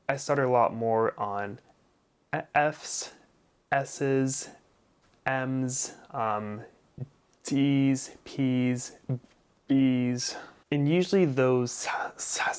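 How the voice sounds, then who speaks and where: A young man with a noticeable stutter talks at a slow, deliberate rate. He repeats first sounds, hits occasional blocks and stretches words smoothly. His delivery is explanatory and personal, at an average pitch. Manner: deliberate, smoothly prolonged speech between stutters. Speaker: vlogger sharing personal experience. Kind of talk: explanatory personal talk to camera.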